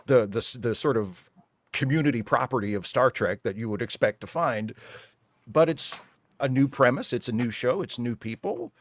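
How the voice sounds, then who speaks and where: A man's voice: medium pitch, lively intonation, normal speed, dry recording. Man arguing his point, dry close sound. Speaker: panel guest on a live fan show. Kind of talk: opinionated casual panel discussion.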